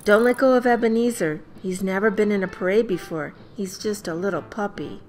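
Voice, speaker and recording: A woman's voice: medium pitch, brisk, faint music behind, close to an everyday voice. A woman playing a reassuring, practical mother in a family radio play, explaining things in a motherly way. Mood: motherly caution.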